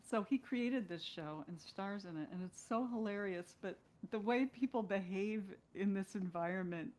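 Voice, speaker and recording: A woman's voice: mid-range voice, moderate pace, darkish tone. A middle-aged woman, an actress talking as a guest in a casual, reflective way. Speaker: guest, stage and screen actress.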